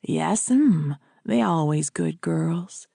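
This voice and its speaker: A woman voicing a calm, plain-spoken housekeeper who speaks in dialect. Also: sits low, slow pace, creaky, husky, dry recording, studio quality, voice pushed down, heavily acted. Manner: dialect grammar.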